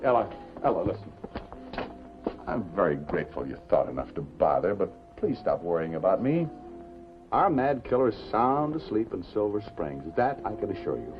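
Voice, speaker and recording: An actor with an American accent playing a wry, unafraid city newspaper reporter in a 1950s television drama. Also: male voice, low-pitched, big pitch swings, normal speed. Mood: earnest, reassuring. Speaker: actor in lead role. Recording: dull 1950s TV soundtrack, music behind.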